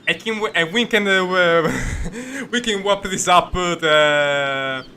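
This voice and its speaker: Very bright-voiced man doing gaming commentary. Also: high-pitched, a little hiss or hum. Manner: halting, rambling, jokey. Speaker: gaming video host. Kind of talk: casual playthrough commentary. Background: game music.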